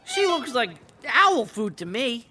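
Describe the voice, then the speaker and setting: A woman's voice: a little high, sing-song, slow pace. A woman voicing a snide, cigar-smoking little sparrow in an audio drama. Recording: music clearly under the voice.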